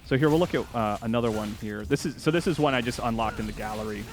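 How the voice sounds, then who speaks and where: A man's voice: mid-range voice, fast. A man hosting a game show segment, chatting casually and enthusiastically as he explains gameplay. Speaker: video game journalist, host. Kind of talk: casual chat, commentary over gameplay.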